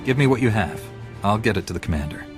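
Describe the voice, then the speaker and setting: An actor playing a calm, principled, resolute heroic knight in a video game, earnest and firm, with music behind. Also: male voice, lowish voice, fast talker, natural voice.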